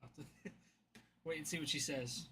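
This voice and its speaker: A man's voice: fairly high pitch, fairly even intonation, a little fast, pushed and bright, a little hiss or hum. A man chatting casually on a livestream, easygoing and chuckling between lines.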